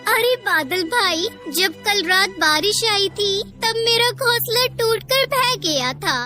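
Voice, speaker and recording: A woman's voice: very high-pitched, animated, slow pace, bright, forceful tone, faint music behind, full character voice. A woman voicing a small female bird, humble and earnest, in a children's moral cartoon. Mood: sorrowful. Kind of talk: Hindi moral cartoon dialogue.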